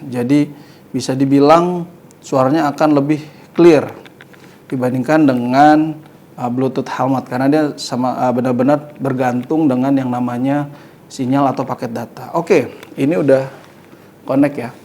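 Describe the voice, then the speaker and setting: A man's voice: mid-range voice, steady tone, normal speed, long pauses, darkish tone. A man hosting a casual hands-on motorcycle gear review, informally thinking aloud as he demonstrates. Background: music.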